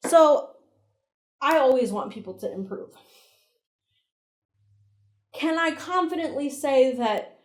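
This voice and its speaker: A woman with a slightly high voice gives casual, opinionated commentary as a video host. She is conversational and emphatic, with blunt, sweary phrasing. The recording has a clearly roomy echo around her voice. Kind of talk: casual commentary, breakdown analysis.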